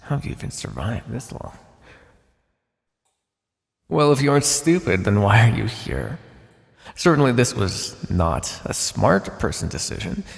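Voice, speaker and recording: A man playing a sardonic vampire captor in an ASMR roleplay, speaking conversationally with hesitant pauses. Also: mid-range voice, sing-song, creaky, heavily acted. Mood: teasing, predatory. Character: teasing, menacing male vampire captor, centuries old scientist. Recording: long hall reverb, faint background noise.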